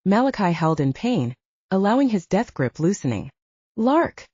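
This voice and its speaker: A woman narrates dramatic fiction from a script. Her voice sits a little low and is dark and mellow, with very animated pitch. The recording is slightly dull. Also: normal speed, husky, no echo. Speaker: audiobook narrator. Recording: dull, band-limited audio.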